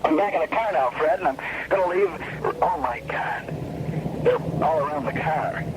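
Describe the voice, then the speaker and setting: Panicked reporter on a two way radio, highish breathless voice, noisy old radio play. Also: male voice, expressive. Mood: terrified. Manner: breathless, horrified, halting. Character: panicked male radio reporter trapped in his car by a mob. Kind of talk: 1970s radio drama mock news report.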